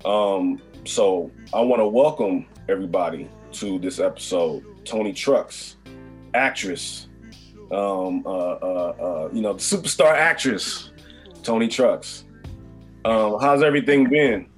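A man hosting a podcast interview, talking in a casual, halting way with many fillers and restarts. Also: lowish voice, faint music behind. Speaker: podcast host.

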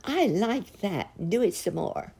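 A woman's voice: lowish voice, very animated, warm tone, husky, heavily acted. A woman voicing an emotional little girl in a storybook tale. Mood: delighted. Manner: halting, sobbing stammer when upset. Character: little girl, tearful, doubtful, then delighted.

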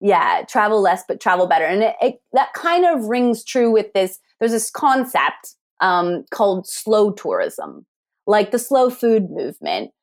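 A woman's voice: medium pitch, big pitch swings, unhurried. American woman academic being interviewed, reflective, questioning. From United States. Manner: thoughtful, asks rhetorical questions, frequent restarts. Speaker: tourism academic guest. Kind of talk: conversational interview.

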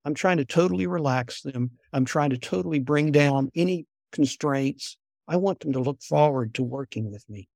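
Soft-spoken older man, gravelly. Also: on the high side, no echo, studio quality. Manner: unhurried, repeats words when restarting. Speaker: guest, former intelligence officer. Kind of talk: conversational storytelling.

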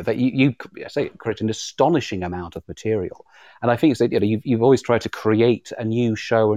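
A man's voice: lowish voice, darkish tone, dry recording. A man with a British accent in an informal interview, often repeating words as he finds his way through a sentence. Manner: halting, repeats words as he goes. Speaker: audio drama creator, interviewer. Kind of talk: informal live conversation interview.

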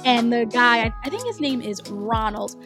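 A woman's voice: on the high side, animated, normal speed, music in the background. Nasal, chatty woman podcast host. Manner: chatty, rambling, with frequent restarts and laughs. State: nasal from a cold. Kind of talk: casual solo podcast chat.

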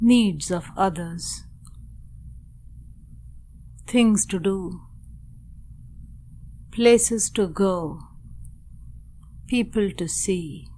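A woman with a mid-range voice leads a guided meditation. She speaks very slowly and deliberately, leaving long pauses between short phrases. There is faint noise behind her voice. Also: mid-range voice, mellow.

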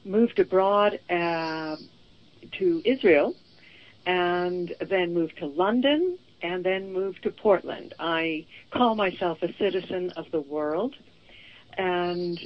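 A woman raised in Boston, a guest on a radio show, speaking in a calm and reflective way. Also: fairly low pitch. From born in Venezuela, raised in Boston. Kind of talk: radio interview. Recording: dull phone-line call-in.

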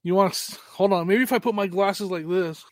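A man's voice: high voice, brisk, no echo. A man co-hosting a casual live stream, flustered and self-mocking while he jokes. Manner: self-deprecating, flustered, joking. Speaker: live show co-host.

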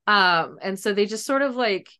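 A woman's voice: mid-range voice, expressive, moderate pace, sharp tone, smooth. A woman talking casually as a guest author in an interview, chatty and loose, often saying like and kinda. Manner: chatty, hedging, frequent "like" and "kinda".

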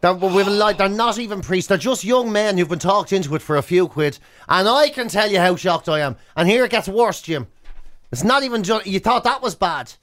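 High-pitched Irish man in comic radio banter. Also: animated, a little fast, a bit bright. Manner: mock outrage, emphatic repetition. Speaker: radio show co-host.

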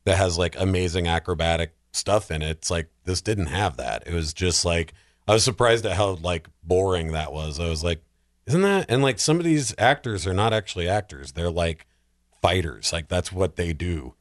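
A man chatting casually as a podcast guest, expressive and peppering his sentences with filler words. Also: deep voice, moderate pace, no room sound, studio quality. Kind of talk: casual chat.

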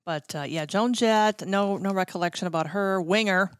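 A woman's voice: medium pitch, normal speed, smooth, no echo. Chatty middle-aged American woman, dry close sound. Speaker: guest recounting concert backstage stories. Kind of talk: casual reminiscing chat.

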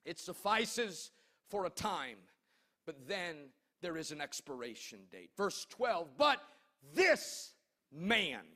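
A male pastor delivers an impassioned sermon in a high, forceful voice. His delivery is declamatory and emphatic, with key phrases drawn out for weight. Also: very animated, takes it slowly.

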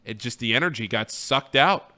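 Casting: male sports radio host, very bright and forward voice, crisp tone, easygoing banter. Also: mid-range voice, expressive, normal speed. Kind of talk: casual sports talk banter.

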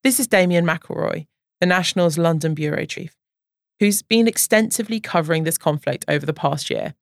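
A woman's voice: low-pitched, creaky, no echo. Woman presenting scripted news podcast, dry studio sound. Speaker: podcast host. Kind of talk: scripted narration.

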